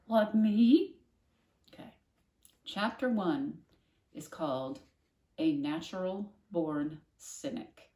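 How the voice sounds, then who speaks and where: Low-voiced woman reading a story aloud. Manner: gentle, cozy, explaining to children. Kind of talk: read-aloud storytelling with casual asides. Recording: echoey room.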